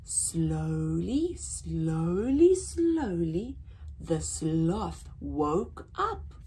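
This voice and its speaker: A young woman hosting a children's story time, reading aloud in an animated, deliberate way with drawn-out emphasis. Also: fairly low pitch, slightly rough. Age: young adult. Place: small indoor room with painted backdrop.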